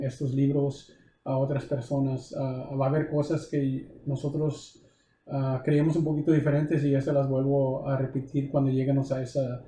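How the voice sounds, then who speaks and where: Mellow-voiced pastor in an echoey room. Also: male voice, mid-range voice. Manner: halting, with many fillers and restarts. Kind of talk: recorded Bible lesson.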